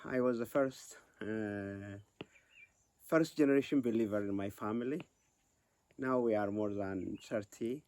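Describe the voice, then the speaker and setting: A man's voice: fairly low pitch, unhurried, darkish tone, no echo. A man from Ethiopia, a ministry director, giving an earnest interview testimony.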